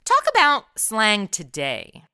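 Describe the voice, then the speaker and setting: A woman's voice: mid-range voice, huge pitch swings, takes it slowly, sharp tone, clear, resonant tone, no echo, studio quality. A woman hosting a podcast, asking curious questions to prompt her co-host. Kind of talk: scripted interview questions.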